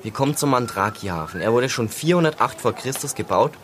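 A man's voice: medium pitch, lively intonation, fairly quick, a bit bright, natural voice. A male actor playing a bookish, lecturing teenage boy who is impatient with his friend in a children's audio drama. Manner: didactic, reciting facts. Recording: clear noise, played-back radio play.

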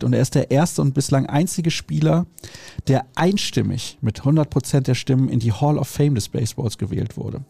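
A man's voice: mid-range voice, gravelly, warm tone, husky, no echo. A man hosting a podcast in a studio, chatting and teasing his guest in a joking way. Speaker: podcast host. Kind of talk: casual chat.

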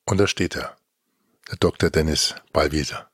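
A man's voice: a bass voice, normal speed, a bit bright, a little hiss or hum. A man co-hosting a podcast with casual banter, moderating the conversation. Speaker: podcast co-host.

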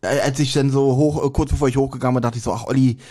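A man's voice: mid-range voice, steady tone, very fast, warm tone. A man co-hosting a podcast in casual banter, rambling along in a jokey way. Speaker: podcast co-host.

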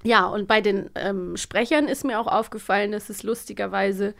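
A woman's voice: medium pitch, fairly even intonation, normal speed, gravelly. A woman co-hosting a podcast in a casual, chatty style. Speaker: podcast co-host, voice performer. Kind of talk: casual chat.

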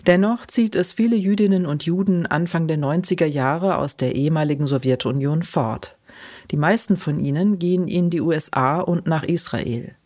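A woman hosting a short documentary format, reading scripted narration in an even, measured way. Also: deep voice. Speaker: host, narrator.

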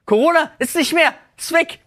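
A man co-hosting a casual podcast chat, telling everyday stories in an animated way that climbs high when he vents. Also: normal speed, dry recording, studio quality. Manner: animated storyteller, rises sharply when venting. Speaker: podcast co-host.

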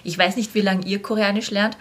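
A woman's voice: medium pitch, fairly even intonation, fairly quick, fairly bright tone. A woman with an Austrian accent in a casual podcast conversation, speaking candidly as a teacher, with blunt slang and acted-out quotes. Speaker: language teacher.

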